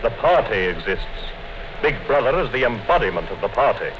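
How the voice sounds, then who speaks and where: An actor voicing a calm interrogator in a 1950s radio drama, cold and measured. His voice moves a lot in pitch, while the old broadcast sounds dull and hissy. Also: close to an everyday voice. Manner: calm, measured, coldly menacing. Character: cold, patient male party interrogator, middle-aged.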